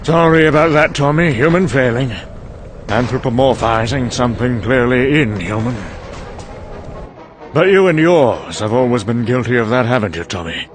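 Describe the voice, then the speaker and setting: A man's voice: medium pitch, expressive, moderate pace, faint music behind, close to an everyday voice. A man playing a cynical scientist, delivering a dry, weary, sardonic dramatic monologue for a motion comic. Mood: sardonic, mocking. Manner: dry, sardonic, weary musing with trailing asides. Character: cynical, sardonic British male scientist briefing an American colleague.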